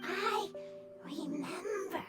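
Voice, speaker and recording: A woman voicing a small, innocent mushroom creature in a video game, speaking in halting, broken phrases with simple words. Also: very high voice, roomy sound, over loud music, exaggerated voice. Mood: earnest.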